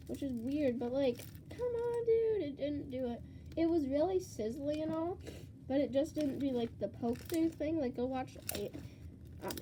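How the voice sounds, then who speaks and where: High-voiced congested teen girl vlogger. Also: noisy background. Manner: chatty, rambling, excitable. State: congested with a cold. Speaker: slime vlogger. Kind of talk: casual vlog chat.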